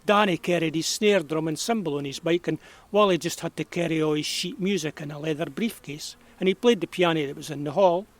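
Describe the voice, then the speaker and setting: Man acting a high-voiced, kindly old Scotsman. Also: a little fast, lightly acted. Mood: amused, reminiscing. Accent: Scottish, rural Perthshire. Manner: folksy, reminiscing, chuckling. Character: kindly, nostalgic old Scottish man, about eighty. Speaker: actor in an audio drama. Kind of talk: naturalistic audio drama storytelling.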